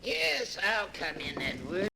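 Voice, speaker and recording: A haunted male strangler from a 1940s radio melodrama. His voice is fairly high for a man and swings wildly in pitch. He broods over every phrase and repeats himself obsessively, slowly and intensely. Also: big pitch swings, vocal fry, bright, forceful tone, heavily acted. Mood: sullen. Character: tormented escaped-convict strangler obsessed with his murderous hands. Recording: 1940s radio broadcast recording.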